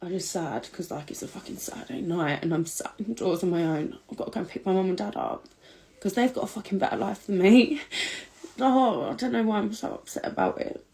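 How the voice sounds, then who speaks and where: A woman rambles tearfully at home, swearing often between sobs. Her voice is creaky and gravelly at the edges, at an ordinary pitch for a woman. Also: animated, brisk, mellow. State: crying. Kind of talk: casual confessional selfie video. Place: indoors at home.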